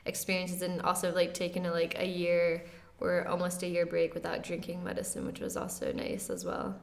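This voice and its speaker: A woman in her twenties, talking as an interview guest in a relaxed, soft-spoken way with light laughs and many fillers. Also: lowish voice, brisk, gravelly. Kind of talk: casual interview conversation.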